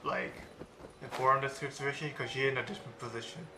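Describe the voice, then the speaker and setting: A man's voice: mid-range voice, steady tone, brisk, creaky, noisy background. A man giving casual gameplay commentary as a streamer. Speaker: game streamer. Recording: echoey room, game music behind.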